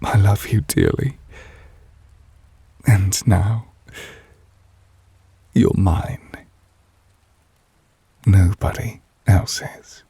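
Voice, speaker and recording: A man playing a devoted, possessive yandere witch in an intimate ASMR roleplay, speaking softly and breathily, often near a whisper. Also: low voice, vocal fry, breathy edge, dry recording, full character voice. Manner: slow, tender, murmured endearments.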